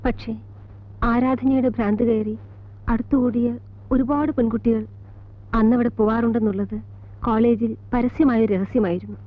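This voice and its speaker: An actress playing a composed middle-aged college teacher in a film drama, speaking in a measured Malayali accent with deliberate pauses between short phrases. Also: female voice, fairly high pitch, steady tone, lightly acted. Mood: sombre, reflective. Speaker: actor in a film. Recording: tinny band-limited old film soundtrack, music behind.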